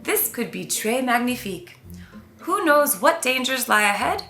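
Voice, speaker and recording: Lady doing a fancy crocodile toy for a kids story, bright voice, echoey room. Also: fairly high pitch, very animated, unhurried, faint music behind, full character voice. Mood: intrigued. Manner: flamboyant, sprinkles in French phrases. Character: suave adventurous male French crocodile plush toy.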